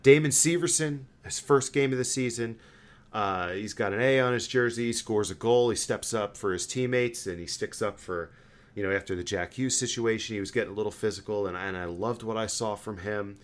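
A man hosting a sports podcast, talking casually as a fan and telling stories in an animated, stammering way. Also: on the low side, fairly quick. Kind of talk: casual hockey fan chat.

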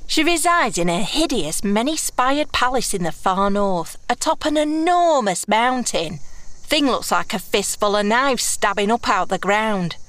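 A woman's voice: fairly high pitch, animated, unhurried, fairly bright tone, dry recording. A woman playing a brash, blunt runaway heiress turned thief in a fantasy audio drama, sarcastic and colloquial with old-fashioned turns of phrase. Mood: wry, scornful.

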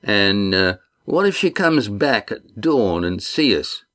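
A male narrator voicing a blunt, loyal innkeeper turned sailor, speaking in a folksy dialect with dropped grammar, pitched above his own voice. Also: sing-song, slow, fairly bright tone, heavily acted. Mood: gruff.

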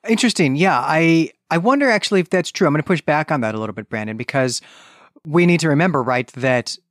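A man co-hosting a podcast, discussing and recapping literature in a measured, analytical way, thinking aloud as he goes. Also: a little high, animated, a bit bright, no room sound, clean studio sound. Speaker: podcast co-host.

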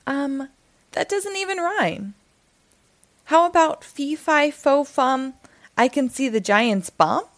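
A cheeky young boy from a storybook, acted by a woman. The voice is high and swoops about with whiny, sarcastic protest. It sounds reluctant and full of back-talk, recorded close and dry. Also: expressive, slow pace, no room sound, full character voice. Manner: complaining, exclamatory back-talk. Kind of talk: children's storybook read-aloud.

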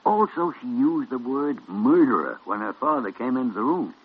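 Gruff old physician, husky, swooping intonation, teasing, vintage radio drama. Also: male voice, medium pitch, lively intonation, moderate pace. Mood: musing. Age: older. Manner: gruff, blunt, wry. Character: crusty old senior doctor, gruff and teasing. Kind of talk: 1940s radio drama acting. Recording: muffled old radio recording.

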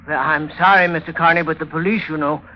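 An actor playing a timid, apologetic little bank clerk in an old radio drama, hesitant and deferential. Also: male voice, a little high, fairly even intonation, moderate pace, natural voice. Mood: nervous, apologetic. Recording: dull vintage radio recording, faint noise.

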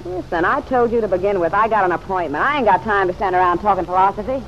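A woman's voice: mid-range voice, animated, quick pace, close to an everyday voice. A muffled vintage radio recording with faint noise carries an actress as a hard-boiled American woman. She is defiant and sardonic, snapping out curt slang in classic radio drama style. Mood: sardonic, impatient. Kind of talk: old-time radio drama acting.

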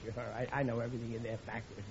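A man's voice: medium pitch, little pitch movement, very fast, lightly acted. An actor playing an overconfident, bumbling middle-aged American father in an old radio sitcom, stammering and chuckling nervously. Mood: pompous. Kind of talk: 1950s radio sitcom acting. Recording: muffled, very noisy old radio recording.

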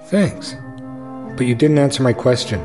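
Man acting mild reporter, medium-pitched. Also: moderate pace, over music, close to an everyday voice. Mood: mild, evasive. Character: mild, polite younger male newspaper reporter. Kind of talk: audio drama acting.

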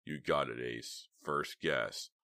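A man's voice: extremely low pitch, steady tone, slow pace, creaky, bright, forceful tone, dry recording, studio quality, heavily acted. A man playing a smug, menacing blackmailer in an audiobook story, needling and unruffled in manner. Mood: calm, menacing.

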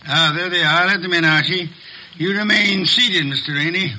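An actor playing a pompous, exacting master detective in an old radio drama, with formal, precise diction. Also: male voice, high-pitched, normal speed, very noisy, lightly acted. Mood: commanding, dry.

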